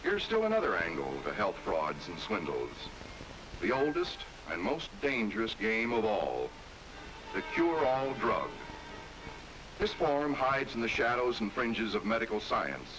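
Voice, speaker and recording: Deep American narrator, vintage educational film. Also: male voice, sing-song, a little slow. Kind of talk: scripted 1960s documentary narration. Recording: hissy, noisy 1960s film soundtrack.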